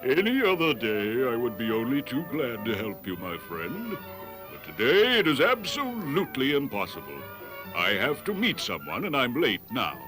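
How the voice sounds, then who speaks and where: A man's voice: medium pitch, wildly swooping pitch, normal speed, music in the background. A man voicing a busy, evasive bull making excuses in a cartoon fable. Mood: apologetic. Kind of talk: animated fable dialogue.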